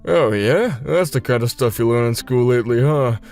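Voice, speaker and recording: A man's voice: mid-range voice, normal speed, darkish tone, natural voice. Manga dub role: laid-back grown male relative, natural medium voice male performer. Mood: curious. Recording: music bed behind the voice.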